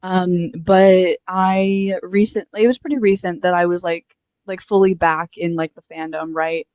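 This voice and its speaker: A woman's voice: on the low side, fairly even intonation, unhurried, darkish tone, no room sound, muffled recording. Young woman, twenties, friendly interviewer, chatty, filler-heavy, dry close sound. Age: 20s. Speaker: host interviewing strangers. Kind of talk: casual street interview.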